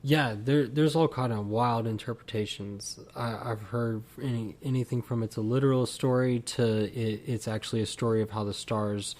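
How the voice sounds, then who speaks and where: A man's voice: medium pitch, fairly even intonation, normal speed, hardly any pauses, a little mellow. A man hosting a podcast, chatting casually and loosely with his guest, with lots of "like" and "you know". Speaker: podcast host. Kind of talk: casual interview.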